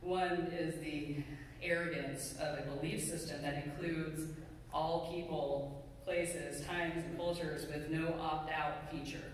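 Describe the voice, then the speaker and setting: Echoey sermon from a middle-aged pastor. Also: mid-range voice. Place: church sanctuary pulpit, indoors. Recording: very echoey hall, distant mic, faint noise.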